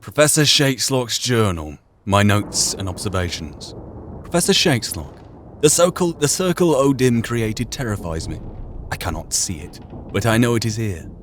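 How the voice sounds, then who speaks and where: A man's voice: fairly low pitch, sing-song, normal speed. Man putting on a theatrical, tormented old professor. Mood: dread. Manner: theatrical, formal. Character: tormented, lonely old male professor reading his journal. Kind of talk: dramatic journal reading. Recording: faint background noise.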